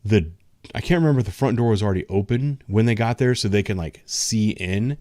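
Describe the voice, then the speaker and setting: A man with a low voice talks loosely and frankly, a patrol officer chatting on a casual livestream. He hedges with ums and restarts his sentences. The sound is dry and close. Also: brisk, a little mellow, no room sound. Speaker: patrol officer, show host.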